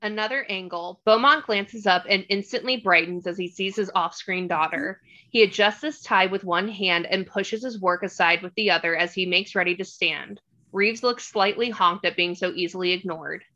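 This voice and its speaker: Bright-voiced woman narrating a script. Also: mid-range voice, normal speed, highs cut off. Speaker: narrator reading stage directions.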